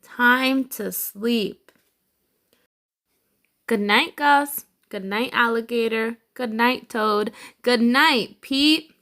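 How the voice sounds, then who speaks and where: A woman's voice: on the high side, extremely slow, sharp tone, heavily acted. A woman voicing a cool, easygoing male cat from a children's storybook, in short, emphatic phrases. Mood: sleepy, insistent. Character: cool, easygoing male cartoon cat who wants sleep.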